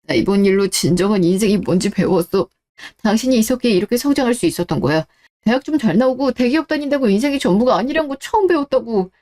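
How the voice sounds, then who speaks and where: A woman's voice: fairly high pitch, lively intonation, fast talker, mellow, close to an everyday voice. A woman voicing an earnest, emotional husband, loyal to his wife and embittered, in a melodramatic radio drama. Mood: pleading.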